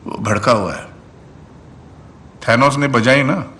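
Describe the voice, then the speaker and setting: A man's voice: mid-range voice, slow pace, sharp tone, slight background noise. A man acting in a film dub as a wry, snappy creature teammate with a blunt manner.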